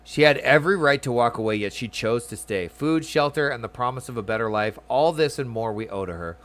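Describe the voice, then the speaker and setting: A man's voice: mid-range voice, animated, a little fast, fairly bright tone, close to an everyday voice. Man playing a solemn, courteous ailing old father. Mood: solemn, grateful. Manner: formal, stately phrasing.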